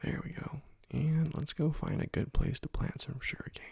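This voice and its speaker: A young man softly whispering slow, relaxed commentary while playing a video game, close to a desk microphone. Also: fairly high pitch, vocal fry, husky. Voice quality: soft whispering, often unvoiced. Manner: slow, relaxed rambling between quiet pauses. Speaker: let's play host. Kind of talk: ASMR gameplay commentary. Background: faint keyboard and mouse clicks.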